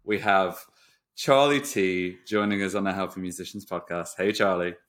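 Male podcast host, lowish voice. Informal, jokey, generous with praise in relaxed interview chat. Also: normal speed.

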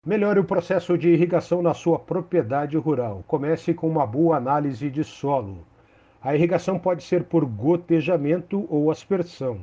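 A man with a Brazilian Portuguese accent, presenting a farm radio program in a steady, measured scripted read. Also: a little high, slight background noise. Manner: steady, measured reading of announcements. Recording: dull lo-fi radio sound with faint music.

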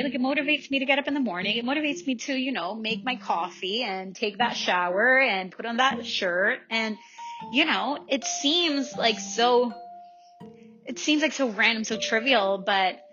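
Bright, higher-voiced young woman musing casually. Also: highs cut off. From El Salvador. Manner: informal, self-questioning, often seeking agreement with "right?". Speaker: vlogger. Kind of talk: casual, reflective monologue to listeners. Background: music.

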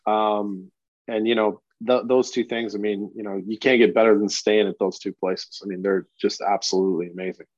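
A man in his thirties answering interview questions in a low-key, hesitant way with many fillers. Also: fairly even intonation, normal speed, darkish tone, no echo, lo-fi sound. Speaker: sportsbook manager.

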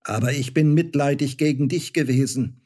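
A man playing a gentle old woodcutter. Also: mid-range voice, normal speed, pitched above the performer's own voice, natural voice. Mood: earnest. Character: kindly older male woodcutter, reproachful but gentle.

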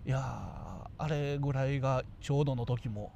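A man with a Kansai accent chatting casually as a radio co-host, informal and offhand, musing out loud with comic touches. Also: mid-range voice, moderate pace, creaky, lo-fi sound, slight background noise.

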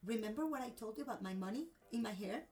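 A woman's voice: a little high, lively intonation, quick pace, warm tone, far from the mic, soft background music. A woman from Cuba with a Cuban accent and Spanish as her first language, telling a personal story expressively in a conversational interview.

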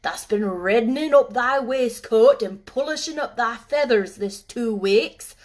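A woman doing a gruff old Yorkshire gardener. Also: on the high side, a little slow, natural voice. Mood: sarcastic. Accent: Yorkshire dialect. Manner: blunt, loud, drawling dialect speech. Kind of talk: storybook reading.

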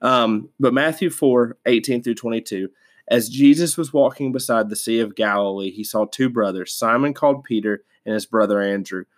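A man's voice: mid-range voice, normal speed. A man hosting a devotional podcast, stuffed up from a sinus infection, talking casually with many fillers and restarts. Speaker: podcast host.

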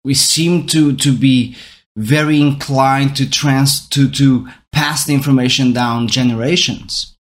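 A man's voice: medium pitch, fairly even intonation, a little slow. A man from Portugal, a native Portuguese speaker, talking in English about learning and teaching, thinking aloud with frequent restarts and rhetorical questions. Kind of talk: reflective talk on learning.

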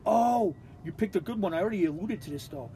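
Podcast co-host, New man, high pitch, profane and blunt, does voices when he retells stories. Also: lively intonation, quick pace, dark tone, a little hiss or hum. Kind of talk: casual chat, reading scorecard notes aloud.

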